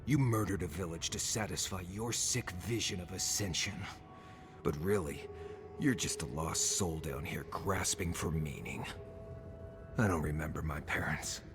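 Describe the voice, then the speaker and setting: An embittered, hard-edged adventurer with a low, deep voice. He speaks bluntly and wearily in a fantasy game scene, with loud music behind him. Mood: bitter contempt. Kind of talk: video game cutscene acting.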